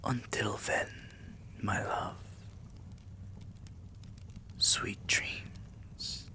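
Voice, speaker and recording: A man playing a tender, possessive vampire king in an intimate ASMR roleplay, murmuring soothingly and often whispering, with a deep, gravelly voice. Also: very slow, bright, forceful tone, full character voice. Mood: soothing, loving.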